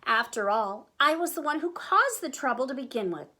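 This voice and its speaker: A woman plays a bossy storybook lady, older and very pleased with herself. The voice is high and swoops about as she gushes in a hoity-toity, exclaiming manner. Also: sing-song, moderate pace, bright, forceful tone, heavily acted. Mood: gushing, smug. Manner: hoity-toity, exclaiming, breezily self-congratulatory.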